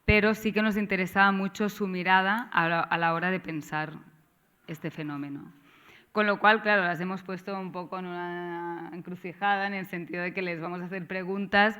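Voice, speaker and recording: A woman with a Catalan accent, whose first language is Catalan, opens a seminar session as its organizer, talking haltingly with frequent tag questions and restarts. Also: on the low side, bright, forceful tone, clear, resonant tone. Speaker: course organizer and moderator. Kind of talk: seminar introduction.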